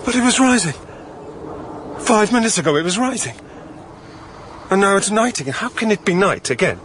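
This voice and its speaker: Confused businessman, highish voice. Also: animated, normal speed, a bit bright, a little hiss or hum. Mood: distressed. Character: lost, confused, exasperated modern businessman. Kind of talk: naturalistic radio drama acting.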